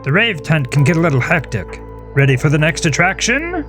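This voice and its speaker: A man's voice: on the high side, very animated, fairly quick, over music, pitched above the performer's own voice. A man voicing a cheerful, showy carnival guide with a theatrical announcer's manner in a horror audio story. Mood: breezy, showy.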